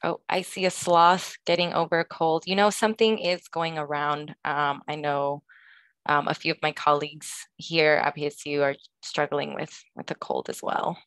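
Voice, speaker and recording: A woman's voice: sits low, steady tone, moderate pace, a bit bright, clean tone, no echo. A woman giving an informal presentation at an online conference session, speaking somewhat hesitantly with frequent fillers. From Los Angeles, California. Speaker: presenter, student organization advisor.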